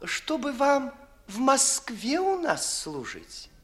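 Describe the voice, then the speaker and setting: Unctuous, timid young office flatterer, 1950s radio verse comedy; slow, deferential, extravagantly melodic. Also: male voice, extremely high pitch, full character voice. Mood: fawning enthusiasm. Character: obsequious, cautious young clerk, sly flatterer. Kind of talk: 1950s classical radio theatre acting in verse.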